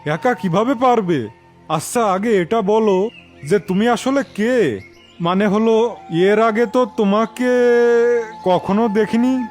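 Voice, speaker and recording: Village landlord played by a man, worried and puzzled, high cartoon voice with music behind. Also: slow, long pauses. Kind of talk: cartoon fairy-tale voice acting.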